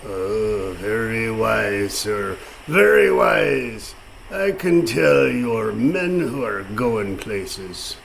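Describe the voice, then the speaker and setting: An actor playing a gruff, sly pirate ship's cook in a comic radio play, with a gravelly voice and salty dialect. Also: male voice, fairly low pitch, expressive, very slow, noisy background, exaggerated voice. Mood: wry flattery. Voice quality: gravelly, creaky.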